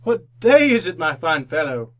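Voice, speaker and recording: An actor playing an old repentant miser in a radio drama, theatrical and fervent. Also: male voice, on the high side, big pitch swings, moderate pace, a bit bright. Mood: joyful wonder. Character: old repentant miser, fearful then overjoyed.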